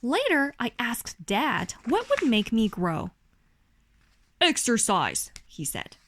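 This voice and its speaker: A woman with a high voice and a Chinese accent reads a picture book to a child at an unhurried pace. Her storytelling is animated and swings widely in pitch. There is faint noise behind her. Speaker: parent reading a bedtime story to a child. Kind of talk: expressive read-aloud of a children's picture book.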